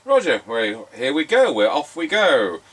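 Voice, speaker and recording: A man's voice: fairly high pitch, huge pitch swings, unhurried, a bit bright, slight background noise. A man chatting casually as he demonstrates a CB radio, using jokey radio slang. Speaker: CB radio tester and presenter.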